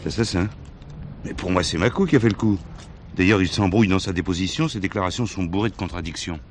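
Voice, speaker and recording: A man plays a sceptical police subordinate in a stage thriller. He talks quickly in a very low voice, familiar and insistent as he questions his boss. The old recording has slight hiss. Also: natural voice. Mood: questioning. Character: informal, sceptical male police subordinate and friend. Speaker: actor. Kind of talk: French stage thriller acting. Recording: 1970s stage play recording, some hiss.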